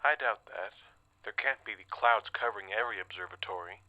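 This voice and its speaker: A man playing a mild-mannered, sceptical astronomer in an audio drama, speaking in a measured way. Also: fairly low pitch, sharp tone, highs cut off. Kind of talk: modern audio drama acting with spoken log entries.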